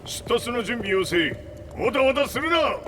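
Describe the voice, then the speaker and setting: An actor playing an imperious, arrogant old warlord in a video game, pompous and commanding, with music behind him. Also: male voice, extremely high pitch, very animated, fast, background noise. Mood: impatient, commanding. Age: older. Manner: pompous, commanding, old-man turns of phrase. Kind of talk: dramatic video game voice acting.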